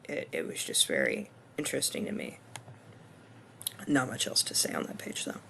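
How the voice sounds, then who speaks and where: A man in his twenties with a hoarse voice, talking through a course screencast reflection in a casual, hesitant way full of ums and restarts. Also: rumbling low, wildly swooping pitch, moderate pace, pushed and bright, a little hiss or hum. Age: 20s. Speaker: student.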